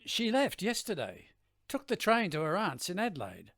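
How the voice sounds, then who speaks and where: An innocent-seeming shopkeeper husband in a radio drama, played by a male actor. His voice sits fairly high and swings freely in pitch, with a very dry, close sound. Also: huge pitch swings, normal speed, fairly bright tone, dry recording, heavily acted. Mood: polite, puzzled. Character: shopkeeper husband, outwardly innocent, told of wife's death. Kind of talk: radio play acting.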